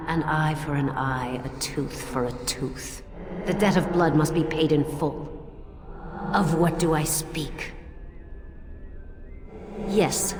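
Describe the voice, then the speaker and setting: Stern spirit of justice and vengeance, imperious, distant in an echoing hall, for a fantasy game. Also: female voice, deep voice, background noise. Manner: severe, imperious.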